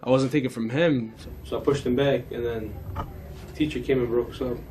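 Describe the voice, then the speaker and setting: Flat-toned polite teenage boy, acted by a student. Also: mid-range voice, brisk, a little hiss or hum, close to an everyday voice, rough recording. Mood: earnest, polite. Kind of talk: amateur school dramatization.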